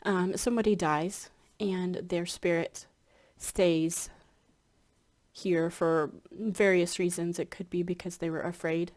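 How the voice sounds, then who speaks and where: A somewhat low-pitched woman talks casually to her followers, her voice a bit stuffy and scratchy from congestion. She rambles along, pausing with frequent ums and restarting her sentences. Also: steady tone, darkish tone. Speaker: spiritual hypnotherapy practitioner. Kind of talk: casual chat to camera.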